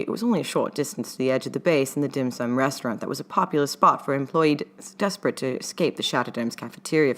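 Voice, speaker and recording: A woman with a low-pitched voice narrates fiction from a script. She reads as the author of the story, in the manner of an audiobook. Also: a bit bright. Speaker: author reading own fan fiction.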